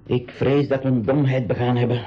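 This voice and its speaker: An actor playing a calm, reassuring uncle who takes charge of young travellers in a radio drama. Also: male voice, mid-range voice, a little fast, slightly rough, lo-fi sound, natural voice. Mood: worried, resigned. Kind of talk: 1980s Dutch-language school radio drama acting.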